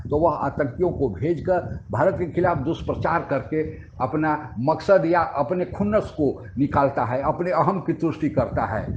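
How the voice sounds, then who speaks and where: A man delivers explanatory commentary in a fairly high voice. The recording is muffled and low in fidelity, with some noise underneath. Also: darkish tone. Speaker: commentator and channel narrator. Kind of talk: explanatory political commentary voice-over. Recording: dull lo-fi sound, audible background noise.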